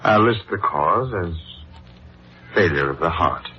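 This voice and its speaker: An actor playing a calm, obliging family doctor, soothing and unhurried, in 1940s radio drama style. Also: male voice, low voice. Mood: sympathetic. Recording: muffled old radio broadcast, faint music.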